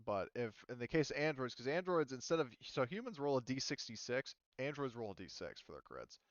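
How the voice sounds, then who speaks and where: A man's voice: mid-range voice, big pitch swings, brisk, no echo, dull audio. A man casually explaining tabletop game rules as game master, thinking aloud and hedging as he goes.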